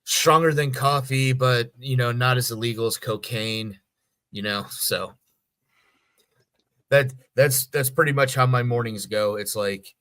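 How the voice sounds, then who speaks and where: A man's voice: mid-range voice, unhurried, fairly bright tone. A middle-aged American man chatting casually as a podcast co-host, rambling and swearing freely. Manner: rambling, profane. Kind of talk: casual chat.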